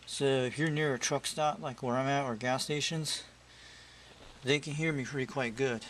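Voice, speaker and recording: A man's voice: mid-range voice, normal speed. A man chatting casually and repetitively as he tests his radio gear, like a hobbyist showing his setup. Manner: rambling, repetitive. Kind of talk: casual chat while testing radio gear. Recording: very noisy amateur recording, loud hum. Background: loud steady noise.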